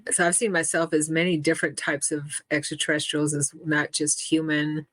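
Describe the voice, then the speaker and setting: A middle-aged woman giving an interview, speaking in a halting way with many restarts and fillers. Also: sits low, little pitch movement, no echo. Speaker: interview guest. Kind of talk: casual spoken interview.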